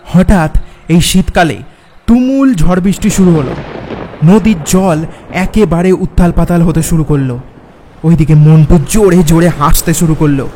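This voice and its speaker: A man narrating a first-person horror story dramatically, with suspenseful pauses and repeated words. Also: on the high side, dark tone, slight background noise, poor audio. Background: background music.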